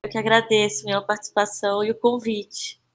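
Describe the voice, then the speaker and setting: A woman's voice: medium pitch, level tone, fast talker. A Brazilian woman answering as a podcast guest in a conversational, informal and reflective way, often seeking agreement with tag questions. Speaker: podcast guest, actress and playwright.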